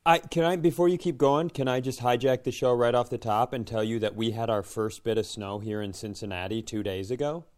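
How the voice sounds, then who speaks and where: An American man with a smooth, clear voice in a middle register. He co-hosts a comedy podcast, riffing and telling stories in a casual way. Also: medium pitch, brisk, few pauses, a little mellow. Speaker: podcast co-host. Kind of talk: casual comedic chat and storytelling.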